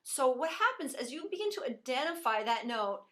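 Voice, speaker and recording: High bright lady vocal coach, upbeat and encouraging, talks you through warmups. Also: very animated, moderate pace. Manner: encouraging, explains while demonstrating. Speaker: voice teacher. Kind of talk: vocal lesson with demonstrations.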